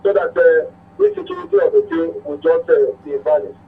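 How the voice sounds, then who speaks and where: A man with a northern Nigerian accent calling a radio phone-in to give his opinion, often repeating words haltingly. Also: a little high, huge pitch swings. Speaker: phone-in caller. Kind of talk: impassioned opinion on a radio call-in. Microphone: telephone. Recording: tinny narrow phone line.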